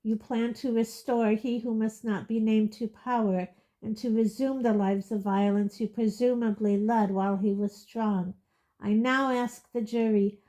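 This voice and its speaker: A woman's voice: a little high, fairly even intonation, a little slow, natural voice. A woman voicing a harsh middle-aged male judge who bellows his verdicts. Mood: cold fury. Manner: loud, cold, bellowing.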